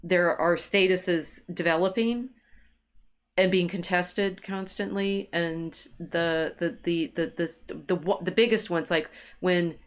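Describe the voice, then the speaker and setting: A woman with a slightly low voice talks through ideas as a co-host of a discussion. Her delivery is halting, circling back over the same words while she hunts for the right phrase. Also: fairly even intonation. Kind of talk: intellectual discussion, thinking aloud.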